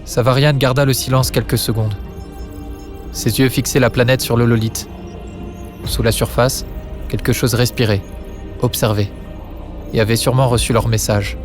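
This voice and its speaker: A man's voice: mid-range voice, steady tone, moderate pace, long pauses. Male dramatic narrator over music.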